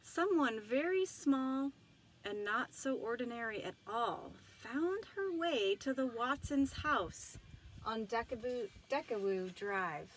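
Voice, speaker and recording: A woman reading a children's storybook aloud with commentary, in an expressive, emphatic storytelling manner. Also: on the high side, slow pace, slight background noise. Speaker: storybook reader.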